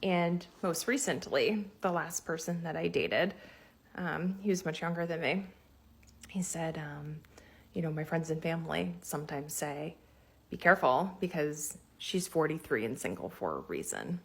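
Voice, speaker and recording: A forty-three-year-old woman calmly telling a personal story. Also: low-pitched, normal speed, gravelly, husky. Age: 40s.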